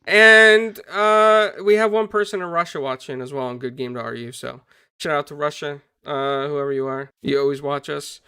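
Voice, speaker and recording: Bright, upbeat podcast host guy. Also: a little high, normal speed, clear, resonant tone, no echo, clean studio sound. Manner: upbeat, shouts out viewers. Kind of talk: casual gaming podcast chat.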